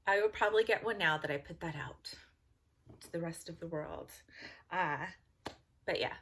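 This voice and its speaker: A Californian woman talking to her subscribers in a loose, rambling chat full of little laughs and fillers. Her voice is bright and forward. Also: lowish voice. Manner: chatty, giggly, rambling with fillers. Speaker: vlogger. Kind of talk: casual talk to camera.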